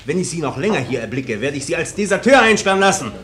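A high-voiced, irascible army lieutenant. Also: male voice, sing-song, fast, fairly bright tone. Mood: irritated, commanding. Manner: curt, commanding, exasperated. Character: irascible, bullying army lieutenant barking at subordinates. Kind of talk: radio play acting.

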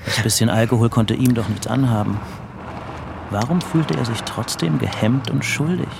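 A man's voice: normal speed, background noise, lightly acted. A man narrating a literary radio drama about a tense road trip in a scripted storytelling style. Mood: measured, brooding. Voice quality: low, creaky.

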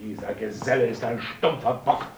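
An actor playing a bitter, domineering village miller who barks orders in a gruff, scornful way. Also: male voice, medium pitch, fairly even intonation, normal speed, heavy background noise, natural voice. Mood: bossy, bitter. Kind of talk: radio play acting.

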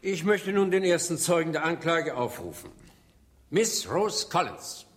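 An insistent male prosecutor in a German radio drama. His voice sits fairly high and moves up and down a great deal. He questions formally, with pointed irony. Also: very animated, unhurried. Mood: formal, probing. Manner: formal, pointed, ironic cross-examining.

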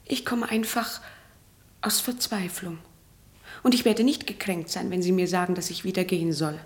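A woman's voice: on the low side, steady tone, moderate pace, natural voice. Woman acting an earnest, troubled patient. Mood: hesitant, despairing. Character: earnest, troubled female patient, polite and self-doubting. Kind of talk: German radio play acting.

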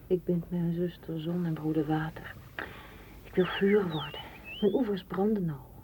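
An actress playing a solitary, dreamy woman in a radio drama, speaking in a hushed, poetic way. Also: lowish voice, steady tone, normal speed, slight background noise, close to an everyday voice. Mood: wistful. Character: solitary, dreamy, independent woman longing for open space. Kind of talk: literary radio drama acting.

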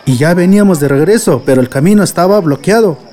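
A man's voice: sits high, animated, quick pace, warm tone, some chatter behind. A man playing a respectful, earnest villager in a radio drama, speaking deferentially with a Mexican accent.

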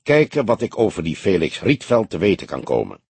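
A man voicing a shrewd, teasing old police detective in an audiobook, with a probing, deliberate way of talking. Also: deep voice, huge pitch swings, a little mellow, slightly rough, no room sound, heavily acted. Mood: shrewd, measured.

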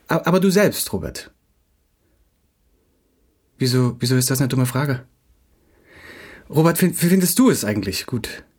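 An actor playing an insecure, agitated young playwright in a radio play, halting and stammering as he repeats himself. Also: mid-range voice, breathy edge. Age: young adult.

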